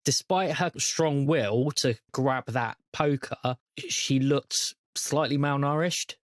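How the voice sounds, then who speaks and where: Slow-talking man running a game. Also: medium pitch, no room sound, studio quality. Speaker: game master. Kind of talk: narrating a tabletop role-playing game.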